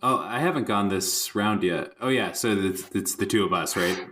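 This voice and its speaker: A man's voice: on the low side, moderate pace, fairly bright tone, soft background music. A man playing a tabletop game, casually improvising his character's story with polite, tentative phrasing.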